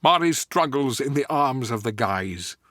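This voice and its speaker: A man doing a comic impression of a genteel, grand stage actor, narrating screenplay directions deliberately and dramatically. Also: mid-range voice, animated, fairly bright tone, dry recording, clean studio sound. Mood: measured.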